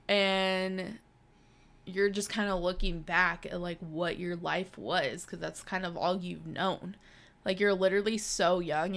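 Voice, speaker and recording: Chatty young woman, bright voice. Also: fairly low pitch. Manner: chatty, swears a lot, lots of "like" and "bro". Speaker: podcast co-host. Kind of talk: casual chat with a friend.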